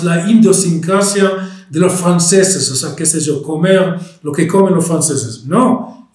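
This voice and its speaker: An Israeli historian with a Spanish accent picked up in Argentina, lecturing and pausing often with fillers and restarts. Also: male voice, high-pitched, darkish tone, echoey room. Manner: hesitant, many fillers and restarts.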